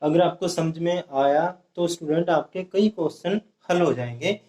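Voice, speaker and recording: High-voiced man teaching in an echoey room. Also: moderate pace, dark tone. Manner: repeats steps and keeps asking students if it is clear. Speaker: exam-prep math teacher. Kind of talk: whiteboard lesson explaining age problems.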